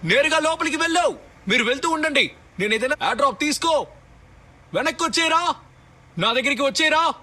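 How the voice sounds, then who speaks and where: A man voicing an urgent, excitable squadmate in a battle royale video game, giving clipped commands. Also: very high voice, brisk, long pauses, full character voice. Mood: urgent commanding. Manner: clipped, imperative callouts. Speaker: game character voice.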